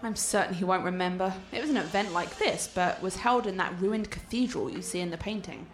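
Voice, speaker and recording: A woman's voice: lowish voice, fairly quick, slight background noise, lightly acted. A woman playing a cultured, confident art scholar and teasing fiancée in a radio play, articulate and playful. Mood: enthusiastic.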